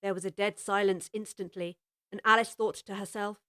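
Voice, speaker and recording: A woman narrating a full-cast audiobook of a children's classic in a measured, expressive way. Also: medium pitch, smooth, dry recording, studio quality. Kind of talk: scripted storybook narration.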